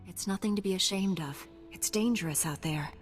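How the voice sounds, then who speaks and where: An actress playing a calm, steady heroic knight in a video game, composed and reassuring. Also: female voice, mid-range voice, fairly quick, close to an everyday voice. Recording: long hall reverb, music behind.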